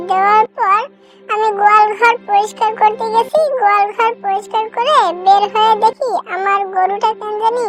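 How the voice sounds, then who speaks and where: A performer voicing an anxious young village boy in a cartoon, who pleads slowly and earnestly. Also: very high-pitched. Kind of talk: cartoon dialogue.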